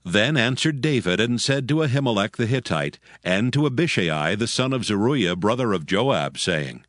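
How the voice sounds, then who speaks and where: Low, expressive male Bible narrator. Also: no echo, studio quality. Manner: solemn, measured storytelling. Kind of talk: scripted dramatized Bible narration.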